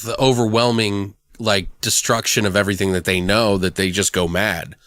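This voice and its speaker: A man's voice: fairly low pitch, fairly even intonation, normal speed, fairly bright tone. A man hosting a podcast in casual, brainstorming chat, informal and prone to swearing. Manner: profane, informal. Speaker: podcast host.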